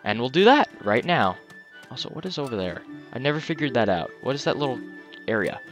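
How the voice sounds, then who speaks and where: Casual male gamer narrating his playthrough, reads dialogue out loud and fumbles words, faint game music underneath. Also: mid-range voice, sing-song, brisk. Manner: joking, stumbles and restarts while reading. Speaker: let's play commentator. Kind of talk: casual game commentary, reading dialogue aloud. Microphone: headset.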